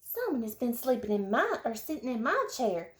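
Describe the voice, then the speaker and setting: A woman's voice: a little high, big pitch swings, moderate pace, reverberant. Woman playing a fretful, wailing mama bear. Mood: dismayed. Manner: wailing, groaning.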